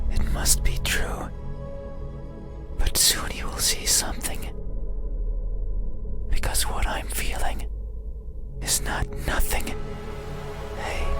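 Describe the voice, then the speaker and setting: A man voicing an ageless, mysterious being in a horror visual novel, speaking a solemn, halting monologue in a breathy, voiceless whisper. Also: natural voice. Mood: brooding, intense, then eerie, pleading. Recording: loud eerie music over whisper. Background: loud scary music.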